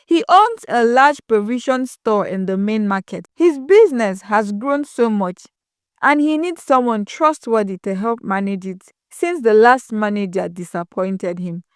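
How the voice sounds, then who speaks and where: Woman voicing a grave old village elder, clear-toned. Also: mid-range voice, lively intonation, no room sound, studio quality, close to an everyday voice. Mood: grave, measured. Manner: measured, weighty.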